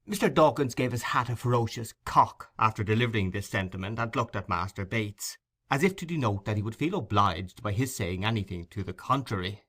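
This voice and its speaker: A man reading a classic novel as an expressive audiobook narrator. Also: fairly low pitch, fairly quick. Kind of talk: expressive scripted literary narration.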